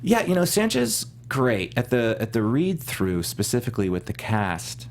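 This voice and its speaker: A middle-aged man from Southern California, talking casually as an actor guest in an interview, chatty and quick to laugh, with many restarts. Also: mid-range voice, very animated, a little hiss or hum.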